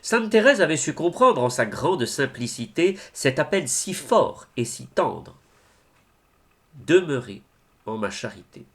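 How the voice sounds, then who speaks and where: A man reciting religious poetry in a solemn, declamatory way, phrase by phrase with deliberate pauses. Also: a little high, very animated, a bit bright. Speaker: reader of devotional poetry.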